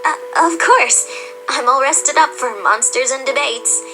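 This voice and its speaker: A woman playing a nervous, excitable young pony princess in an audio drama, flustered and animated. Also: high-pitched, unhurried, poor audio. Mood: flustered, excited. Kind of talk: fan audio drama acting. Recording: music behind the voice.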